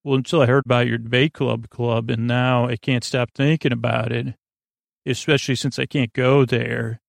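Gravelly, rambling man hosting a sleep podcast. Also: medium pitch, flat delivery, no room sound, studio quality. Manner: meandering, halting, trailing off mid-thought; goofy, whimsical. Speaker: podcast host.

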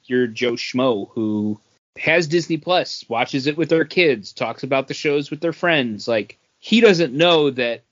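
A man's voice: mid-range voice, animated, measured pace, no room sound. A man co-hosting a podcast, explaining his view in casual chat. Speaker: podcast co-host. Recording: dull, dry lo-fi audio.

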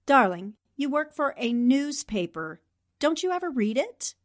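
Woman doing a savvy 40s radio girl reporter, swoopy high voice, teasing and a bit condescending. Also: very animated, a little slow. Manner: theatrical, condescending endearments. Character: shrewd, worldly, teasing newspaperwoman columnist. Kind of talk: 1940s radio acting.

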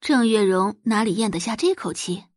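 A woman's voice: medium pitch, animated, moderate pace, a little mellow, dry recording, studio quality. A woman narrator reading a romance novel expressively for an audio drama, as scripted storytelling.

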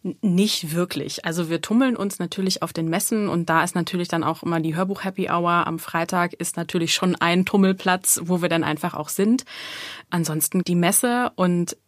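A woman's voice: on the low side, moderate pace, no room sound, clean studio sound. A woman speaking as an interview guest, explaining things in an informal, conversational way.

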